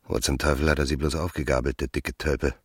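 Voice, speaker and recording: A man's voice: level tone, quick pace, no room sound, studio quality, heavily acted. An actor playing a suave, ruthless landowner in his thirties, a self-assured seducer, in a radio drama. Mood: scornful musing. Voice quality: very deep, husky.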